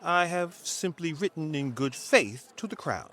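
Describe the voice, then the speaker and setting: An actor playing a sly, condescending schemer in a period audio drama, talking in a deliberate, manipulative way. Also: male voice, a little high, lively intonation, sharp tone, full character voice. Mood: dismissive, sly. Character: sly, scheming, condescending man plotting a rival's downfall. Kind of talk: dramatic audio fiction acting.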